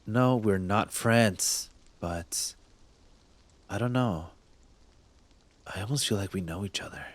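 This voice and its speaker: Man acting a slow, low-voiced smug cop. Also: no room sound. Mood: probing, softening. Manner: teasing, intimate, halting, drops into breathy murmurs. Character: smug young male cop, secretly smitten with thief. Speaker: voice actor in audio roleplay. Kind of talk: ASMR roleplay acting, addressed to the listener.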